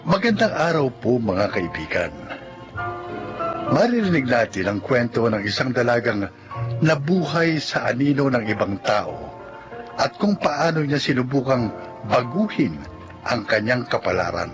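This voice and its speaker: A man narrating and announcing a scripted radio drama with deliberate, dramatic phrasing. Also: mid-range voice, very animated, poor audio. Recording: music behind the voice.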